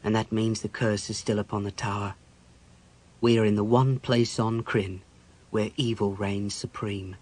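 A man's voice: on the low side, fairly even intonation, measured pace, pauses often. Man voicing a grim, haunted big warrior. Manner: grim, weighty dramatic delivery. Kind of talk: dramatic fantasy audiobook dialogue.

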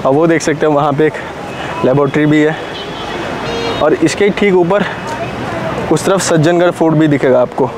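A man's voice: fairly high pitch, moderate pace, pauses often. A young man in his twenties casually narrating a travel vlog, talking to his viewers as he walks around a lakeside and an aquarium. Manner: chatty, addresses viewers as guys while walking. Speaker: travel vlogger. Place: outdoors by a busy lakeside, then an underground aquarium. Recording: loud crowd and street noise. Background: crowd chatter and outdoor noise.

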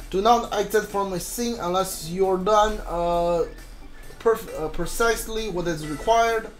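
A gamer hosts a casual playthrough. The delivery is halting, with muttering and reading aloud from a guide. Faint music plays behind. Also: mid-range voice.

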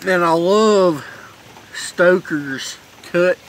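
A man's voice: high voice, very slow, slight background noise. A young man, chatting casually and cursing often in a laid-back drawl while filming himself on a phone on a covered porch. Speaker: vlogger reviewing dip tobacco. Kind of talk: casual rambling vlog chat. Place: covered porch outdoors in heavy rain. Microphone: phone camera on kickstand. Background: rain falling.

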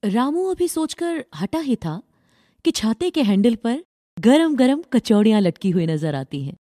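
Mellow, expressive woman storyteller. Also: fairly high pitch, measured pace, no echo, clean studio sound. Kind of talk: scripted children's story narration.

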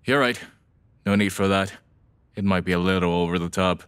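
A man's voice: low voice, moderate pace, a bit bright, clear, resonant tone. A man playing a brash, offhand young half-vampire alchemist in an audio drama. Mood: breezy. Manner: casual, offhand, sweary.

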